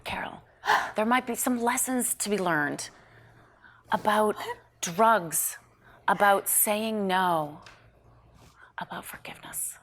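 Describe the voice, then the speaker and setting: A woman playing a self-serving TV personality, halting and pleading in a dramatic script read. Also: mid-range voice, a bit bright. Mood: placating. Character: self-serving female TV personality, placating and smooth-talking. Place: indoor studio. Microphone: lavalier.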